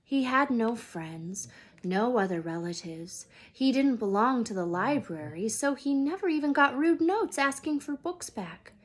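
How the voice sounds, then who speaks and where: Slow, slightly husky woman reading aloud. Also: a little high, lively intonation. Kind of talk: expressive storybook read-aloud.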